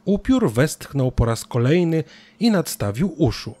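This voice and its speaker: A man narrating a scripted children's story as an expressive storyteller. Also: fairly high pitch, a little slow.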